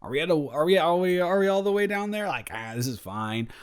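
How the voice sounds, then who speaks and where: A man's voice: a little high, lively intonation, fairly quick, smooth, natural voice. A man playing a sheepish, good-natured roommate in an improvised roleplay audio, talking casually with hesitant, stammering repeats. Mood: sheepish, teasing. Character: sheepish, awkward, good-natured male roommate, secretly smitten.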